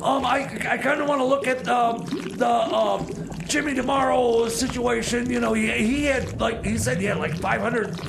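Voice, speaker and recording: Man acting a radio comedy DJ sidekick, very high creaky voice, stammering, rambling, noisy recording. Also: moderate pace. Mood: earnest. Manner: hesitant, stammering, full of stalled repeats. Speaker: radio DJ announcing songs. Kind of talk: improvised comedy radio theater. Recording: heavy background noise.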